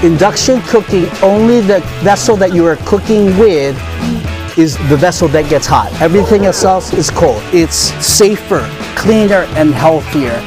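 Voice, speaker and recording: A man narrates a public service announcement with an upbeat promotional delivery. His voice is on the higher side. Music plays clearly underneath. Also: measured pace. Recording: clear music bed behind.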